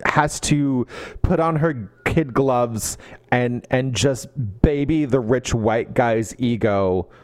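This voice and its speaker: A man's voice: mid-range voice, takes it slowly, darkish tone, no echo, clean studio sound. A man co-hosting a podcast, giving a casual comedic book recap, sarcastic and mockingly acting out the characters' lines. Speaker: podcast co-host.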